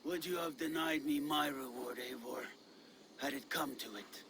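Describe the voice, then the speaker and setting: A man plays a grave warrior in a game drama. His mid-range voice is quiet and restrained. Noticeable ambient noise surrounds it. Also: medium pitch, normal speed, lightly acted. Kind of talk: scripted video game drama acting.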